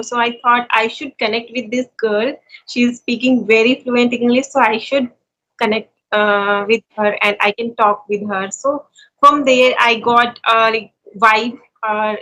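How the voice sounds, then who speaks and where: A woman in her twenties from India with an Indian accent, chatting in a friendly, earnest way and pausing now and then to find her words. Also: a little high, sharp tone, low-quality recording. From India (Uttarakhand). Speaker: YouTuber and conversation partner. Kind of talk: casual conversation practice.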